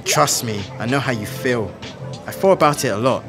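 A man's voice: mid-range voice, normal speed, over music, natural voice. An actor playing an earnest, streetwise teenage boy with a London accent and slangy delivery in a comic drama. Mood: reassuring.

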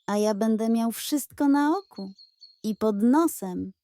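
Female narrator as a male cartoonish pug, high, unhurried, pompous, sly, theatrical, children's story. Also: expressive, mellow, dry recording. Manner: theatrical, proud, solemn. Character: cunning, pompous male pug with grand speeches.

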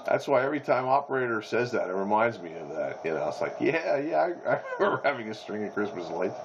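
A man's voice: medium pitch, sing-song, quick pace, vocal fry. A middle-aged or older man chatting casually and jokingly over CB radio. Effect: voice through CB radio. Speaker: CB radio operator. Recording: muffled, very noisy CB radio.

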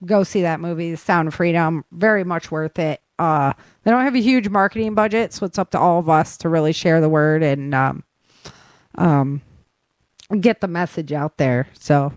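A woman's voice: sits low, normal speed, no room sound. A woman podcast host talking informally to her listeners, mixing news rundown with casual chat.

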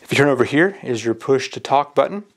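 A man's voice: medium pitch, expressive, fast. A man explaining plainly, step by step, in a casual hands-on product walkthrough. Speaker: product reviewer. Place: indoors at a work table.

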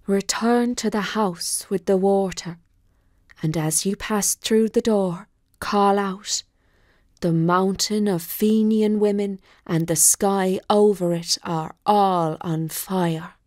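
A woman voicing a kindly male spirit of a well in a children's storybook, soft and half-whispered, giving careful instructions. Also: medium pitch, steady tone, slow pace, no echo, clean studio sound, natural voice. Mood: gentle, reassuring.

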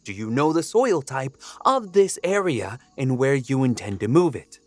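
A man's voice: mid-range voice, sing-song, normal speed, dry recording, close to an everyday voice. A man playing a fussy, confident god of order in a fantasy audio drama, pedantic and precise. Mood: pedantic, assured.

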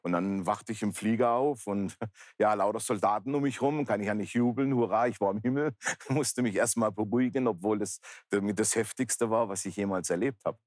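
Deep-voiced man in his fifties. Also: brisk, darkish tone, no room sound, studio quality. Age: 50s. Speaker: talk show guest, pastor. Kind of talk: personal testimony in an interview. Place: large indoor bar hall, seated interview.

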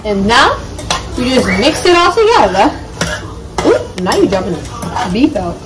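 A woman's voice: on the high side, very animated, unhurried. Young woman chatting in a noisy kitchen. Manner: chatty, explains steps to viewers. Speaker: cooking vlog co-host. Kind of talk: casual cooking chat. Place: home kitchen, indoors. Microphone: camera on the counter at a distance. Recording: distant camera mic, loud kitchen clatter.

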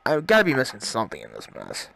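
A man's voice: medium pitch, huge pitch swings, fast, gravelly, bright, forceful tone. A man giving casual let's-play commentary, puzzled and often muttering to himself. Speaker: video game streamer, host. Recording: faint noise, keyboard and mouse clicks.

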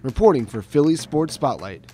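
A man reads a sports feature voice-over in a measured, mellow tone. Soft music plays behind him. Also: a little high, sing-song. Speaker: reporter. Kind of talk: scripted news narration.

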